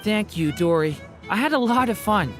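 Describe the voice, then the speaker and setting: A woman's voice: medium pitch, lively intonation, moderate pace, natural voice. A woman voicing a kind, polite, generous young character in a video game. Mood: gentle, pleased. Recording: faint music behind the voice.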